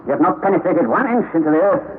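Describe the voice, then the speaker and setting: An actor playing a commanding professor uncle leading an expedition, in a 1930s radio drama, on a tinny old recording. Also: male voice, a little high, expressive, quick pace, a little hiss or hum, natural voice. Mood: methodical. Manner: commanding, gives orders.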